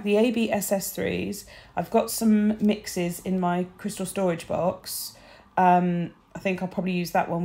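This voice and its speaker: A woman with a croaky early-morning voice, chatting bubbly through a nail art tutorial and sharing tips. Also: on the low side, mellow. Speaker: nail technician.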